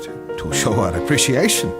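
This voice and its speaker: An actor playing a deferential, measured advisor in an audio drama, speaking formally and with careful politeness. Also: male voice, medium pitch, sing-song, close to an everyday voice. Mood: deferential, uneasy. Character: deferential, measured male advisor to a powerful protégé. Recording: loud music behind.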